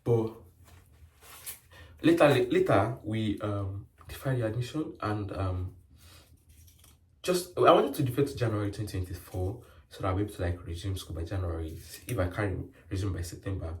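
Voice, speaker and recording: A man's voice: on the low side, normal speed, a little mellow. A young man with a Nigerian accent, chatting casually in a rambling vlog story full of fillers. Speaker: nurse vlogger. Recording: roomy sound from a distant mic.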